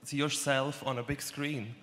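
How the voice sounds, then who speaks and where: A man's voice: mid-range voice, little pitch movement, measured pace. Swiss-accented man asking from the audience. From Switzerland. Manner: polite, apologetic, enthusiastic. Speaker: audience member asking a question. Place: large auditorium. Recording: long hall reverb, faint noise.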